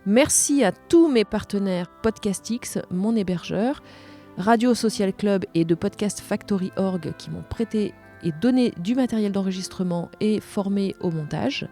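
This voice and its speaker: Female podcast host, soft dark timbre, mid pitch, measured presenting style. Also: soft background music.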